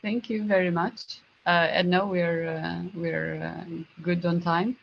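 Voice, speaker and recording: A woman moderating an online question session, halting and pausing often mid-phrase. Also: on the low side, flat delivery, vocal fry, a little hiss or hum. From Romania. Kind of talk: informal Q&A moderating. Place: online Zoom conference. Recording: dull, lo-fi video call audio.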